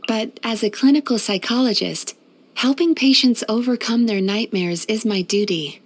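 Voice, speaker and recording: A woman playing a gentle, composed yokai therapist in a video game, soft-spoken and measured. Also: a little high, close to an everyday voice. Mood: composed, earnest. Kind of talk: video game character voice lines.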